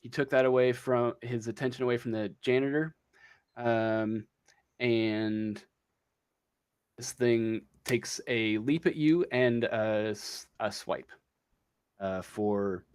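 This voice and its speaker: A man acting as game master, improvising a tabletop story in a casual, halting way as he searches for words. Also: medium pitch, smooth.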